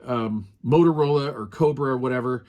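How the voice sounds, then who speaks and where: A middle-aged man reviewing a small two-way radio in a relaxed, rambling way, with lots of fillers. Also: medium pitch, a little fast. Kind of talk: casual product review.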